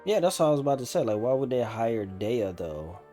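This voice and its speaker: A man's voice: mid-range voice, sing-song, a little fast, mellow. A young man doing casual, slangy let's play commentary, musing aloud as he goes. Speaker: gaming streamer. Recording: game music behind.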